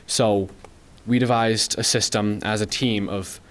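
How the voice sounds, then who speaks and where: Earnest young man with a lowish voice. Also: unhurried. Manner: earnest, steady, explanatory. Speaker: student team member. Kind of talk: prepared remarks at a board meeting.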